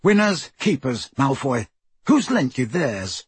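A defiant teenage boy wizard , as a male reader plays him, answers wryly and a little out of breath. The voice stays close to the reader's own, strongly husky and recorded dry. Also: medium pitch, big pitch swings, slow pace, no echo, full character voice. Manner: panting, wry, defiant. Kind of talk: audiobook reading.

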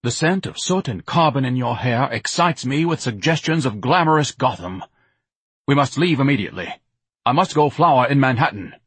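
A man's voice: medium pitch, animated, normal speed, slightly rough, no echo, voice pushed up. A man voicing a pompous, self-pitying, overweight grown man who talks in grand, melodramatic speeches. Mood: frantic, theatrical.

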